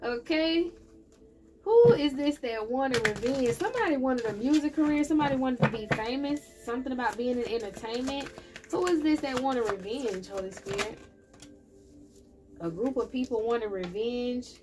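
A woman's voice: high voice, lively intonation, moderate pace, heavy background noise. A woman giving a casual tarot reading, chatting straight to her viewers. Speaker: tarot reader. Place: indoor table with candles and plants.

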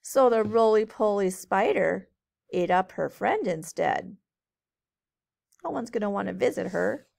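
Slow-reading woman with a creaky voice. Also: medium pitch, lively intonation, darkish tone. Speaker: teacher reading aloud to children. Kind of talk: storybook read-aloud narration.

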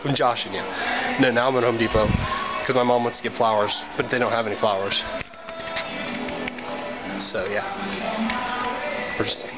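A man's voice: medium pitch, fairly even intonation, moderate pace, long pauses, loud music behind the voice, low-quality recording. A man in his twenties vlogging casually to a handheld camera, rambling and joking at himself while he shops. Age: 20s. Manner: rambling, self-mocking, jokey asides. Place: supermarket, gas station and hardware store. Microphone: handheld camera at arm's length. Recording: loud store and street noise.

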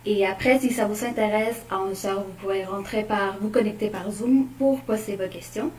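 A woman's voice: mid-range voice, brisk, darkish tone. Young woman hosting in an echoey room. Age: young adult. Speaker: event host. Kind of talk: announcement introducing an event. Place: meeting room indoors. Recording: echoey room, slightly distant, faint noise.

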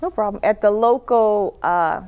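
A woman's voice: medium pitch, a little slow, darkish tone, smooth. A woman hosting a TV studio interview, introducing her guest and giving short affirming replies, on a lapel mic. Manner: brief affirming backchannels. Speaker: talk show host. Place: tv studio set.

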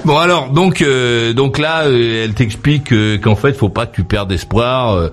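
A man's voice: mid-range voice, moderate pace. A man hosting a casual late-night radio phone-in, teasing and joking with the caller. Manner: jokey, teasing, laughs at own jokes. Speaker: radio host.

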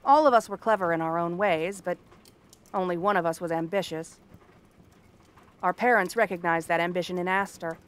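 Casting: female actor as cursed princess turned frog girl, cranky and theatrical, sharp-tongued but secretly kind, ASMR fantasy roleplay. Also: fairly low pitch, moderate pace, long pauses, clean tone, lightly acted. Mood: wry storytelling. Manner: haughty, sardonic, theatrical tsundere delivery.

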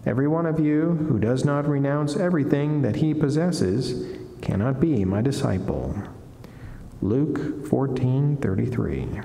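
Middle-aged man, friar at a lectern, formal devotional reading, expressive varied intonation, soft dark tone, reverberant chapel. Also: mid-range voice, vocal fry, slight background noise. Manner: measured, deliberate, formal. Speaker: friar reading a saint's life and reflection. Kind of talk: solemn devotional reading from a book. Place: wood-panelled chapel, indoors. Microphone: lectern gooseneck microphone. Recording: echoey chapel with long reverb.

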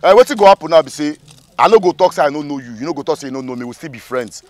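A man's voice: a little high, lively intonation, racing, a little mellow, no room sound, lightly acted. A man with a Nigerian accent playing a brazen, self-important community youth leader in a comedy skit, arguing emphatically. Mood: scheming. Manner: argumentative, emphatic, repeats himself. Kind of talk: comedy skit acting in Pidgin English.

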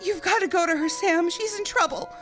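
An actress playing a loyal, anxious detective's secretary in a noir radio play, hesitant and pleading. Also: female voice, very high voice, brisk, fairly bright tone, faint music behind. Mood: urgent.

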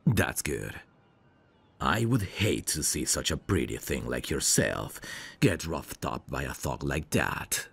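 A man playing a protective, self-assured, flirtatious mafia heir in an ASMR roleplay, commanding and smooth-talking. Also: a bass voice, sing-song, slow, a bit bright, full character voice. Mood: tender, flirtatious.

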